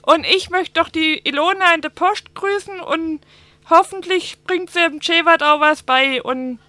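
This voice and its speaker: A woman hosts a casual radio interview and keeps her questions short and direct. Her high, bright voice sits close and dry on the mic, with no room sound behind it. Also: measured pace, dry recording. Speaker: radio presenter.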